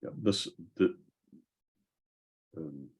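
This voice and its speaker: Man, extremely low voice, husky texture, halting, thinking aloud, casual tech Q&A host. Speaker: host of a software community call.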